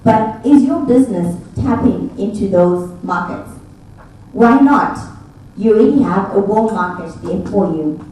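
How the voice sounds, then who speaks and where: A woman's voice: mid-range voice, animated, unhurried, warm tone. Echoey, distant Zimbabwean woman presenting. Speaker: conference speaker. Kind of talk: presentation pitch to an audience. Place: indoor event room with tables. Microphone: handheld mic, picked up by far camera. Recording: distant, echoey room with faint noise.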